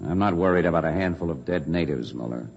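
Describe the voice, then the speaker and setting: Curt 1950s radio general, noisy recording. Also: male voice, low voice, animated, fast talker, creaky, lo-fi sound. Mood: curt, determined. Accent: American. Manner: curt, commanding. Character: brisk, hard-nosed army general commanding a bomb recovery. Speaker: actor in a radio drama. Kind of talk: 1950s American radio drama acting.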